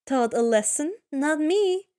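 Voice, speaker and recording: A woman's voice: sits high, animated, takes it slowly, darkish tone, voice pushed up. A woman voicing a bold teenage demigod girl in a story, with biting, confident retorts. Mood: venomous.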